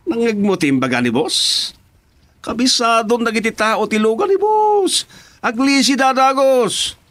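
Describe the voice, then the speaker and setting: A cocky driver in a radio drama. He speaks in a high male voice that swings widely up and down, loyal and sure of himself. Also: very animated, measured pace, a bit bright, full character voice. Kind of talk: Ilocano radio drama acting.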